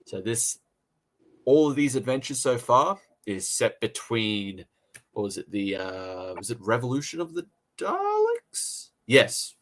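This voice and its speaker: An Australian man hosts a casual review show. His pitch sits in the middle of the male range. He talks in a chatty, informal way and likes to tease and joke. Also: wildly swooping pitch, a little slow, fairly bright tone. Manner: jokey, teasing. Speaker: podcast review host.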